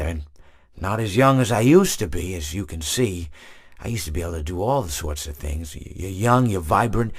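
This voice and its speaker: An actor playing a gentle, absent-minded old male hippopotamus animatronic who rambles and stammers through wistful stories, in video game voice lines. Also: lowish voice.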